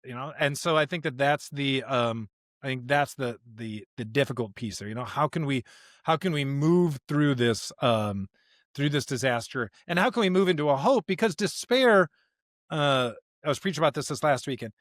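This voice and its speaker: A man's voice: mid-range voice, animated, normal speed, a bit bright, no echo, clean studio sound. A man who preaches and co-hosts a podcast, explaining scripture conversationally in an earnest way, restarting phrases for emphasis.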